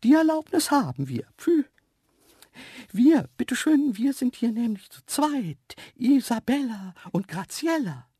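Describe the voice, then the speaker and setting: Cheeky, haughty little female antelope, played by a male reader, high delicate voice with a husky edge, children's storytelling. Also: big pitch swings, measured pace, mellow, no echo, clean studio sound, exaggerated voice. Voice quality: delicate, small voice. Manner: saucy, haughty. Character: cheeky, dainty invisible female antelope, quick to take offence.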